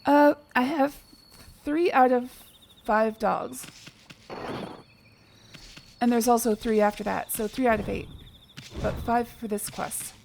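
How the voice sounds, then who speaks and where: A woman's voice: a little high, slow pace, vocal fry, pauses often, darkish tone, a little hiss or hum. A woman casually chatting and rambling while playing a video game as streamer. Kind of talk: casual let's-play commentary. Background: game music.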